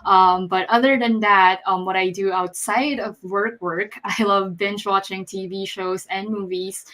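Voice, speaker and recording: Cheerful woman engineer, mid pitch, chatty and excited. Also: unhurried. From the Philippines. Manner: upbeat and chatty. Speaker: front-end software engineer. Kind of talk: online panel self-introduction.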